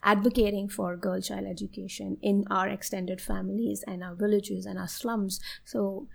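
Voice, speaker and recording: Brief: woman, 30s, Indian English accent, Hindi native, interview guest, animated storyteller, mid-range voice. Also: mid-range voice, normal speed, creaky. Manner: earnest and animated, laughs often while telling stories.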